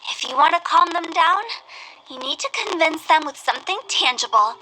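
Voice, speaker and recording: A very high, bright young woman's voice for an anime-style fantasy game. She is a cheeky, confident funeral director who talks theatrically, as if always in the know. Faint noise sits behind the voice. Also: moderate pace, poor audio.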